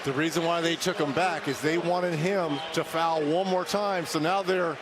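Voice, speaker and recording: A man's voice: a little high, steady tone, moderate pace. A man giving live basketball analysis as a color commentator, conversational and opinionated. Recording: loud arena noise. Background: loud basketball game noise.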